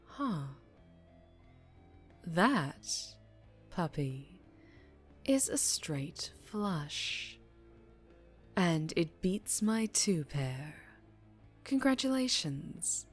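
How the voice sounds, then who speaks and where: A woman as a low, slow, menacing mafia boss. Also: big pitch swings, breathy edge, soft background music, exaggerated voice. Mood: smug, watchful. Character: dangerously charming female mafia boss, menacing, teasing, in control. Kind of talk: ASMR roleplay audio drama, addressing the listener.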